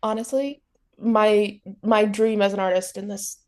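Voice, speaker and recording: A woman's voice: medium pitch, steady tone, measured pace, a little mellow. A woman hosting a casual interview, talking in an enthusiastic, informal and gushing way. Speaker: interviewer, host.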